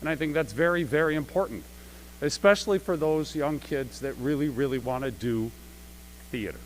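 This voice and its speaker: A man's voice: fairly high pitch, normal speed, rough recording. A man speaking as a theater director, giving a conversational, rambling live welcome speech to an audience with emphatic repetition. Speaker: theater director and producer introducing a show. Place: school gym stage, live-streamed. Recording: live gym event with faint hum.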